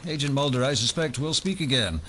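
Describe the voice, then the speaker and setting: A man's voice: medium pitch, expressive, fairly quick, sharp tone. Formal male official, medium register, polite menace, audio drama, faint background noise. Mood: cool, formal. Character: formal male government official, coolly courteous, veiled threat.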